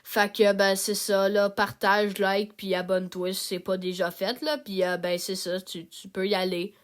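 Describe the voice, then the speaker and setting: A woman's voice: medium pitch, fairly even intonation, normal speed, voice pushed down, natural voice. A young woman with a Québécois accent voicing a laid-back cartoon boss who rambles with many fillers and slang. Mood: rambling. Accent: Québécois French.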